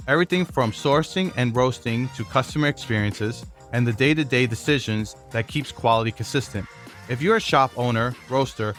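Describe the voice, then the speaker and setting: A man's voice: medium pitch, fairly bright tone. Male podcast host, scripted intro then loose questions, faint music underneath. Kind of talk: podcast hosting and interviewing.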